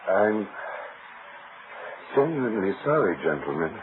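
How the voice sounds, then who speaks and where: An actor in an old radio detective drama playing a genteel, remorseless wife-killer who speaks formally and haltingly. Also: male voice, on the low side, big pitch swings. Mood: confessional, detached. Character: confessed serial wife-killer, genteel, remorseless, oddly composed man. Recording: muffled, noisy old radio recording.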